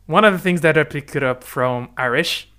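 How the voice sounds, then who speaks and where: Animated man, bright voice. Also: on the high side, normal speed, smooth. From Brazil. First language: Portuguese. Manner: animated, talks in restarts and asks "right?" often. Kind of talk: casual conversation. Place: lives in the UK.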